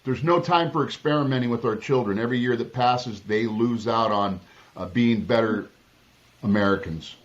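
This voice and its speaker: A man's voice: mid-range voice, moderate pace. Political candidate, male, from Southern California; emphatic, blunt delivery, restarts mid-sentence, slightly dull recording. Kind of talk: interview answers.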